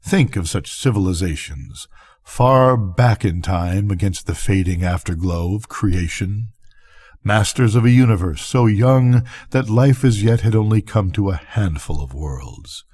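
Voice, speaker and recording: An older man reading a bedtime story as scripted narration, with measured, deliberate phrasing. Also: on the low side, animated, darkish tone, breathy edge. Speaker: reader of bedtime stories.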